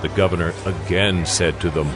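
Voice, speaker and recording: Deep-voiced man narrating scripture. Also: steady tone, moderate pace, a crowd in the background. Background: music.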